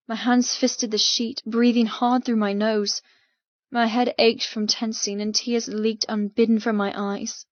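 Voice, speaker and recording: A woman narrating first-person fiction as an audiobook, in a measured, dramatic manner. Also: a little high, no room sound, lo-fi sound.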